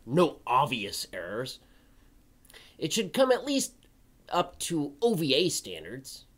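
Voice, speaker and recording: A performer voicing an indignant, theatrical crow puppet in a dramatic reading, pompous and scornful with drawn-out emphasis. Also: mid-range voice, huge pitch swings, exaggerated voice. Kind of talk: dramatic reading of an old forum post.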